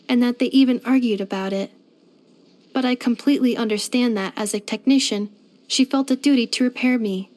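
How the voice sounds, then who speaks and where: A woman's voice: a little high, darkish tone, lightly acted. A woman voicing a polite, earnest female robot in a video game, speaking formally and precisely. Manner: formal, precise, measured delivery with clinical machine-like phrasing. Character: polite female robot maid, earnest, gentle and literal-minded. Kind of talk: scripted video game character voice lines.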